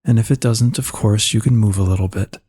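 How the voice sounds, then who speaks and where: Male meditation guide, low-pitched and husky, calm and soothing, giving gentle unhurried instructions. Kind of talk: slow guided meditation narration.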